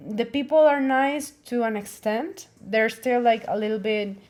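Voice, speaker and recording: A woman's voice: on the high side, measured pace, darkish tone. A young woman from Venezuela, a native Spanish speaker with a Venezuelan accent, chatting casually in English on a podcast and filling her talk with "like". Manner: rambling, full of "like" fillers. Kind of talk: casual chat.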